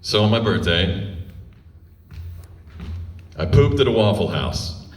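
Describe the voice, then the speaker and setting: A man's voice: lowish voice, lively intonation, takes it slowly, slight background noise. A forty-year-old man telling comic stories to a live audience in a wry, self-deprecating way, often laughing as he talks. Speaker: touring performer telling stories on stage. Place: live stage show before an audience. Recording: roomy live stage, distant mic.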